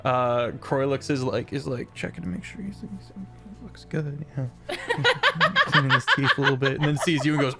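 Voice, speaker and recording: A man's voice: mid-range voice, animated, normal speed, vocal fry, music in the background. A man narrating as game master in a tabletop role-playing game, rambling loosely and laughing often.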